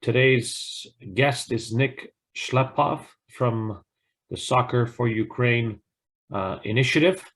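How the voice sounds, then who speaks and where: A man with a mid-range voice hosts an online interview. He welcomes the guest and runs the session at an even, friendly pace. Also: medium pitch, slow. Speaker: host.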